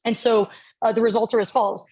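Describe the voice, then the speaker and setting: A woman's voice: a little high, flat delivery, fast talker, darkish tone, slightly rough. A woman from the United States, a doctor and guest, answering fluently and articulately. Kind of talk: interview answer.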